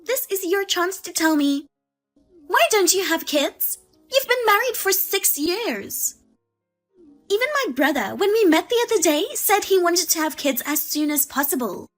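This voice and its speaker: A woman voicing a demanding, scornful sister-in-law who accuses and mocks loudly. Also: extremely high pitch, sing-song, a little slow, faint music behind, voice pushed up, full character voice. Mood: indignant. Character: shrill, demanding female sister-in-law, a mother.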